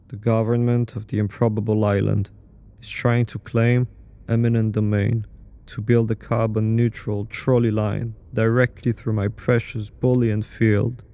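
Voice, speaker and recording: A man's voice: lowish voice, warm tone. A man playing a pompous, proud statistician in a radio play, droning with self-importance. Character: pompous renowned male statistician, a proud feared adversary.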